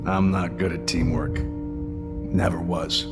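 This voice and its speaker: An actor playing a gruff, middle-aged war veteran and stepfather, brooding and terse, in a video game drama. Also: low voice, flat delivery, takes it slowly, long reverb tail, loud music behind the voice. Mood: remorseful, subdued.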